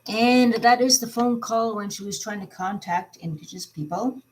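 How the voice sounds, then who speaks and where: Woman giving halting, emphatic commentary. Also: a little high, a little mellow, slight background noise, low-quality recording. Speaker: vlog host commenting on played recordings. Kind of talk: informal opinion commentary.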